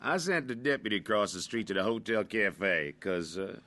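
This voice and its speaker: Kindly small-town jailer, bright forceful voice, dry close sound, neighborly and roundabout. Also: male voice, on the low side, expressive, moderate pace, no room sound. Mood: kindly, sly. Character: kindly, folksy small-town lawman at the jailhouse. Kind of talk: old-time radio drama acting.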